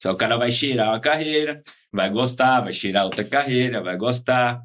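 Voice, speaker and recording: A man from Salvador with a Bahian accent, talking casually as an interview guest, relaxed and slangy, often ending with tag questions. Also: mid-range voice, moderate pace. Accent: Bahian Portuguese. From Salvador, Bahia, Brazil. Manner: laid-back slangy talk with frequent tag questions. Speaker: guest, former patient.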